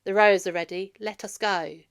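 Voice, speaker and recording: A woman's voice: medium pitch, steady tone, brisk, creaky, clean tone, lightly acted. A woman voicing a goddess disguised as an older man, commanding and reassuring, in a storybook reading. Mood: brisk.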